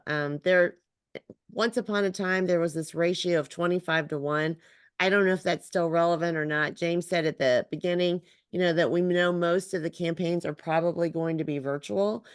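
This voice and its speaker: A woman's voice: fairly low pitch, dry recording, studio quality. A woman with a slightly scratchy voice from allergies, walking trainees through a scenario on a video call. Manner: explanatory, steady, uses "right?" checks. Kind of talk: virtual training presentation.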